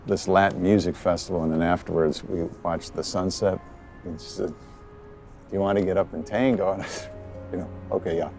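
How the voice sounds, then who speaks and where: Sad widower, low gravelly voice, hesitant and halting, rooftop film scene with music under. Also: male voice. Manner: hesitant, halting, soft-spoken with many pauses. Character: grieving middle-aged widower, gentle and soft-spoken. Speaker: actor playing a widower. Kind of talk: film drama scene with outtakes. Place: outdoor rooftop in a city.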